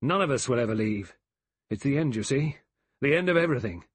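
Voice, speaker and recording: A man's voice: medium pitch, animated, fairly quick, breathy edge, no echo, voice pushed up. A man voicing an abrupt, testy old army general resigned to doom in an audiobook mystery. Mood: testy, sharp. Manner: abrupt, explosive, then resigned.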